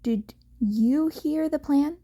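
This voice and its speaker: A woman's voice: sits high, slow pace, mellow, pitched above the performer's own voice. Brash, commanding superhero woman read by a woman. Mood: brisk. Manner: commanding, curt, teasing. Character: bossy, brash adult female superhero mentor.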